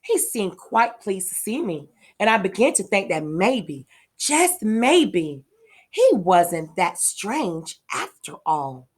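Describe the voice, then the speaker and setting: An earnest, affectionate little girl from a storybook, as read by a woman. She speaks expressively and wide-eyed, with lively swings of pitch and an unhurried pace. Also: slightly rough, exaggerated voice. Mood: wistful, wondering. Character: earnest, affectionate little girl telling of her new pet. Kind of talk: children's storybook read-aloud.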